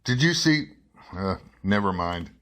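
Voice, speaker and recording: A probing, skeptical newspaper reporter played by a man in a radio drama. The voice is a little low, with a dry, wry delivery for dialogue and narration. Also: very animated, measured pace, a bit bright, full character voice. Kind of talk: radio drama acting and first-person narration.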